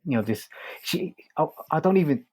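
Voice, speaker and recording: A man co-hosting a podcast, chatting casually in a halting way and often repeating words as he goes. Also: on the high side, animated, a little mellow. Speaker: podcast host and reviewer. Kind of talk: casual review chat.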